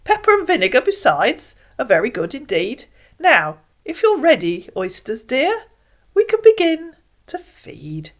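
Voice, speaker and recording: A woman's voice: sits high, wildly swooping pitch, a little slow, heavily acted. A woman voicing a genteel, falsely sympathetic male walrus in a theatrical, coaxing poetry reading. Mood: pompous. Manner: coaxing, theatrical, mock-sorrowful. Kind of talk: expressive storybook poetry reading.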